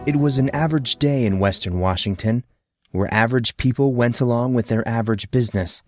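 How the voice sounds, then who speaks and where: A man reading scripted fiction as a podcast narrator, with a measured storytelling delivery. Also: mid-range voice, a little mellow, faint music behind. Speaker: audiobook narrator and podcast host.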